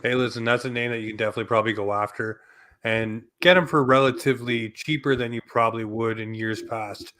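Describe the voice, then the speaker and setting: A man's voice: mid-range voice, steady tone, fast talker, bright, forceful tone. A man co-hosting a sports podcast, giving casual commentary in an emphatic, opinionated way. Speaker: hockey podcast co-host.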